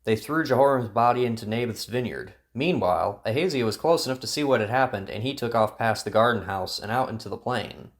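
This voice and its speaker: A man narrating a dramatized story with an expressive, dramatic delivery. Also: medium pitch, a little fast, few pauses. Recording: narration over music.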